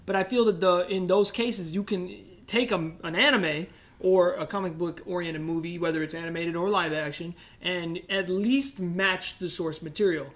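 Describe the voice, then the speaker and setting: A man's voice: sits high, steady tone, normal speed, few pauses, a bit bright. A man co-hosting a podcast, debating casually in an opinionated, probing way. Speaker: podcast co-host.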